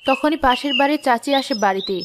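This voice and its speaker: A woman's voice: sits high, quick pace, noisy background. A woman giving scripted storybook narration for a cartoon tale.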